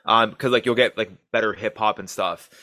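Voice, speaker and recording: Canadian male podcast co-host, low and bright-toned, laid-back delivery, dry close sound. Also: brisk, no echo. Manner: relaxed, easygoing, with many fillers. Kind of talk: casual podcast chat.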